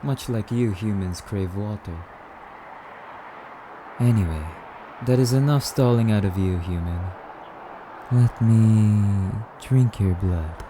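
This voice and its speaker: A man's voice: lowish voice, takes it slowly, background noise. A man playing a courtly, wistful male vampire in an ASMR roleplay, speaking in a musing, slightly archaic, haughty yet polite manner. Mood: coaxing, impatient. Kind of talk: ASMR roleplay monologue addressed to listener.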